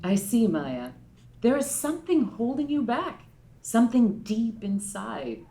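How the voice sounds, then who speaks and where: A woman voicing a stern female fortune-telling teacher who lectures in a grave, measured way at a table read. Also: a little high, sing-song, dark tone. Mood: solemn.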